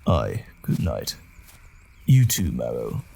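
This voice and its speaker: A man's voice: very deep voice, wildly swooping pitch, slow pace, creaky, warm tone, a little hiss or hum, heavily acted. A man playing a cheeky, gallant, battle-weary rogue in a fantasy audio drama, full of wry, teasing banter. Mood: easygoing.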